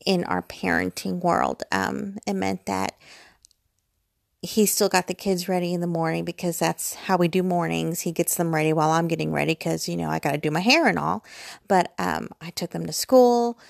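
A woman's voice: on the low side, fairly even intonation, moderate pace, gravelly, no echo, clean studio sound. An American woman in her forties chatting casually and digressively, with a self-deprecating, rambling manner. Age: 40s. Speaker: vlogger. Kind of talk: casual rambling personal chat. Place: bedroom at home, lying in bed.

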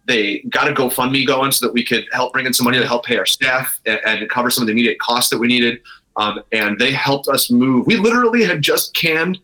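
A man's voice: medium pitch, a little fast, sharp tone, rough recording. An American man speaking candidly and informally as an interview guest, often breaking off and restarting his storytelling. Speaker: interview guest, brewery owner. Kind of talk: casual, candid conversation.

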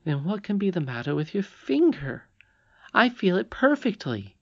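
Casting: young storybook princess played by an audiobook reader, high voice, sure of herself, insistent and earnest. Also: big pitch swings, normal speed, lo-fi sound. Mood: insistent, confident.

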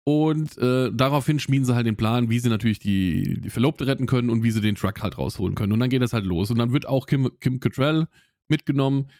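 A man's voice: mid-range voice, fairly quick, a little mellow, dry recording, studio quality. A man co-hosting a podcast, casually retelling a film plot with many restarts. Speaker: podcast co-host.

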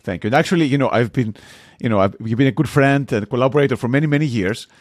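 A man's voice: mid-range voice, fast, dry recording, studio quality. A man hosting a podcast, friendly and asking questions with hesitant restarts. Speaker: podcast host. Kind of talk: interview hosting.

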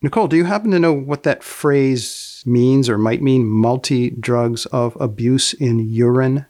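A man hosting a podcast, reading wry scripted narration and putting questions in a deadpan, precisely worded way. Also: medium pitch, a little slow, no room sound, clean studio sound. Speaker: podcast host.

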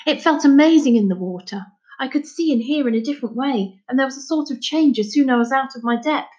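A woman voicing an earnest, excitable young boy in a storybook, pleading and insistent. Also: high voice, fast, lo-fi sound, pitched above the performer's own voice, lightly acted. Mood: excited, urgent.

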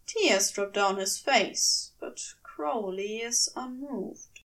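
A woman reading a scripted story as narrator, in an expressive and deliberate storytelling manner. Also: on the high side, recorded from a distance. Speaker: podfic narrator.

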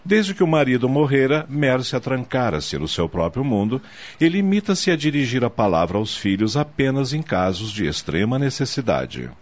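A man's voice: mid-range voice, big pitch swings, normal speed. A man delivering scripted, dramatic narration for a radio soap opera. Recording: dull noisy audio with ambience.

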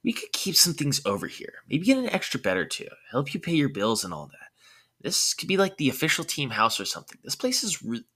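A man's voice: a little high, wildly swooping pitch, brisk, sharp tone, breathy edge, pitched above the performer's own voice, full character voice. A man voicing a bossy, inquisitive teenage ninja girl who sounds insistent and exasperated, in a story reading. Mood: persuasive. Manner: insistent, questioning.

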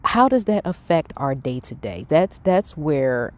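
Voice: medium pitch, big pitch swings, unhurried. A middle-aged podcast host giving an earnest, reflective, conversational monologue, talking casually. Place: outdoors. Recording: windy outdoor background noise. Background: wind, outdoor ambience.

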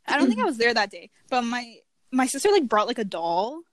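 A woman's voice: fairly high pitch, big pitch swings, fairly quick, dry recording. A young woman telling stories in casual chat, talking with many fillers. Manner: chatty, filler-heavy storytelling.

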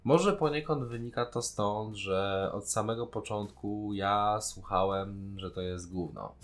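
A man's voice: on the low side, unhurried. A man co-hosting a casual podcast discussion, talking in an informal, blunt way. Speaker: podcast co-host.

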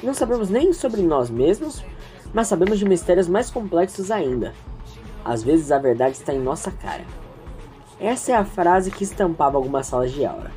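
A woman narrates a story informally, breaking into reflective asides for her listeners. Her voice is low and very varied in melody, with faint music behind. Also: sing-song, moderate pace, warm tone. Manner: chatty, reflective, addresses the listeners. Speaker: narrator and host.

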